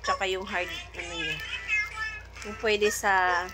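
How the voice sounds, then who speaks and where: A woman's voice: medium pitch, steady tone, slow, over loud music. A Filipino woman chatting casually in an unboxing vlog, thinking aloud and mixing in English words. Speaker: vlogger.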